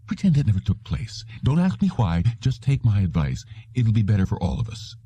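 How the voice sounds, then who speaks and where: Audiobook role: nervous, dismissive businessman read by a man, very mellow, dry sound. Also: on the low side, sing-song, brisk, breathy edge, dry recording. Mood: anxiously dismissive. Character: nervous businessman brushing off a client.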